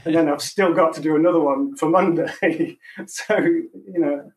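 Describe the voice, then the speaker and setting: A man in his thirties with a quiet, soft voice, chatting over a video call as a guest musician and laughing often. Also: a little high, steady tone, measured pace. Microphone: video call mic. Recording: distant from the mic.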